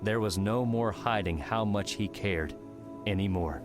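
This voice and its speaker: A man with a fairly low voice narrates a dramatic adventure audiobook. He reads scripted prose as a storyteller, with music playing clearly behind him. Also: measured pace.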